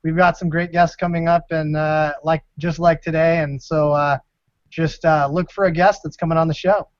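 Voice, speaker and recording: A man's voice: on the high side, little pitch movement, normal speed, dry recording. An American man co-hosting a show with playful quiz banter, recorded dry and a little dull. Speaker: co-host. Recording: dull, dry band-limited sound.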